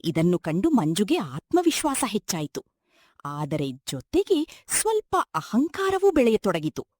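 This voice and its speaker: A woman's voice: medium pitch, huge pitch swings, measured pace, a little mellow, no room sound, clean studio sound. A woman reading a scripted moral story as narrator.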